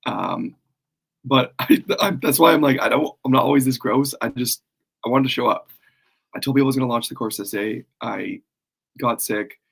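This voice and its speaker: A man with a cold and a worn, hoarse voice, rambling casually through a live stream as he launches his course. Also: medium pitch, normal speed, a bit bright. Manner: rambling, self-deprecating, frequent fillers.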